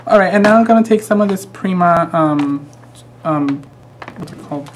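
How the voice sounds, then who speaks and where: A man's voice: high-pitched, normal speed, slight background noise. A man with a Mexican American accent demonstrating a craft project live, chatty and easygoing with frequent ums. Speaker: craft instructor.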